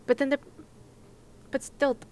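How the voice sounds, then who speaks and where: A woman's voice: measured pace, dark tone, slight background noise. A woman livestreaming a video game, casually commenting, reading aloud and musing as she thinks things through. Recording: game music behind the voice. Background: video game music.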